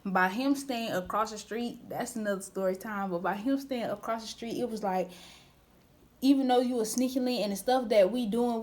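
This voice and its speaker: A woman's voice: mid-range voice, a little mellow. A young woman of twenty tells a personal storytime straight to her viewers. She talks candidly and casually, full of slang, and rambles with frequent restarts. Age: 20s. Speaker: vlogger.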